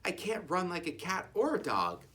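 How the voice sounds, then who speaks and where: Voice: mid-range voice, huge pitch swings, normal speed, bright, forceful tone, far from the mic. Reader playing a small, earnest young tortoise. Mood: sad. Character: young female tortoise, plaintive, stubborn and curious. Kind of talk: animated children's storybook read-aloud.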